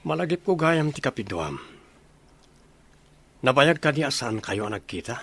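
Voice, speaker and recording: A man's voice: medium pitch, sing-song, a little slow, fairly bright tone, breathy edge, heavily acted. A man playing a curious, reflective soldier with an Ilocano accent in a radio drama.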